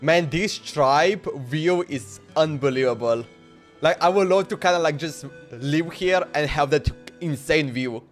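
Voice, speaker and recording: High-voiced man livestreaming a game. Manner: halting, repeats words. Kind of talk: casual live commentary while gaming. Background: faint game music.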